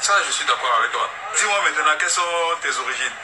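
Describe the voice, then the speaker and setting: A man's voice: on the high side, lively intonation, quick pace. A man interviewing someone on the street, asking probing questions. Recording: noisy, echoey clip from far off.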